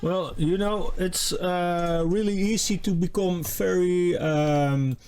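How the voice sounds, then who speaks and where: Slow, gravelly man giving a halting tutorial. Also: sits high, steady tone, background noise. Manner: halting, thinking aloud while working. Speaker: music production tutor. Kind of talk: casual unscripted software tutorial.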